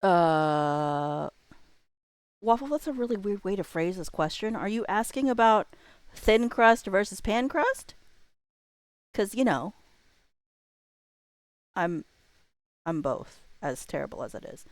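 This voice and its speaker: A woman's voice: lowish voice, animated, a little slow, pauses often, clear, resonant tone, no echo. A woman hosting a livestream, chatting casually to viewers in a joking way with wandering asides. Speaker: livestream host.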